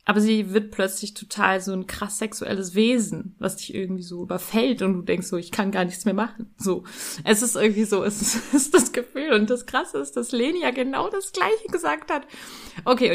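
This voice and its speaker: A woman's voice: medium pitch, moderate pace, vocal fry. A woman hosting a podcast, chatty and teasing with her guest. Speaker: podcast host. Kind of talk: casual podcast interview.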